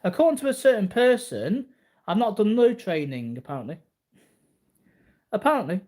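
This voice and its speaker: A man's voice: high-pitched, big pitch swings, normal speed, darkish tone. A man in his early forties talking casually to viewers in a rambling, repetitive, boastful way. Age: early 40s. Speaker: martial arts vlogger.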